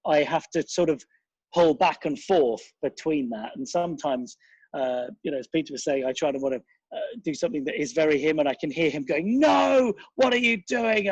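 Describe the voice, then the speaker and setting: A man's voice: on the high side, breathy edge, no room sound, muffled recording. A middle-aged man, reflecting casually in an interview in a rambling, thoughtful, self-deprecating way. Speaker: interview guest, writer, director and actor.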